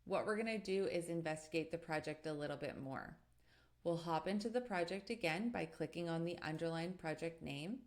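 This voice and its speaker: A woman narrating a step-by-step software tutorial in a methodical, explanatory way as an instructor. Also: on the low side.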